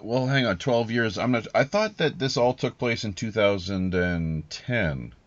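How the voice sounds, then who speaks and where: Male podcast host, low voice, casual halting questioner, dry and slightly dull recording. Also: lively intonation, dry recording. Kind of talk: casual conversational interviewing. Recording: dull lo-fi audio.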